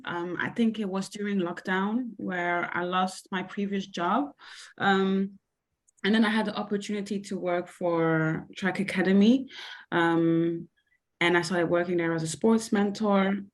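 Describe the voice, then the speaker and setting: A woman's voice: medium pitch, fairly even intonation, a little slow. A young woman from Belgium answering interview questions about her sports coaching, talking hesitantly with many fillers. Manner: hesitant, many ums and likes. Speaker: sports coach and mentor, guest.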